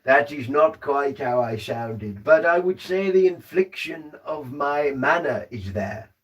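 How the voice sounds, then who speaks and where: A man's voice: fairly high pitch, expressive, measured pace, distant mic. A man in a trance voicing a formal, old-fashioned male spirit guide who speaks through him at a séance. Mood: amused. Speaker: trance medium. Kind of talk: seance trance communication.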